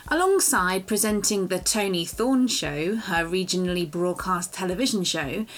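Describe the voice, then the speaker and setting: A woman hosting a podcast, briskly reading a formal scripted introduction of her guest. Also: lowish voice, lively intonation. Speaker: podcast host.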